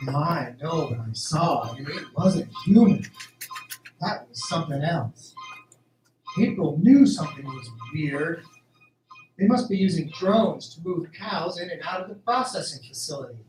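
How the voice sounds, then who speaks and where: A man playing an earnest, excitable farm-raised young hero in an audio drama, thinking aloud in exclamations. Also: a little high, measured pace, a little hiss or hum. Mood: musing, baffled. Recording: roomy, distant, music underneath.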